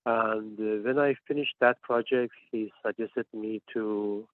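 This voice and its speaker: A man's voice: fairly low pitch, steady tone, unhurried, dry recording. A man in his forties from Iran, a panelist on a phone call, speaking with frequent hesitations and restarts. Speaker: panelist, PhD student. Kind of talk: panel discussion. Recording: tinny dry phone line.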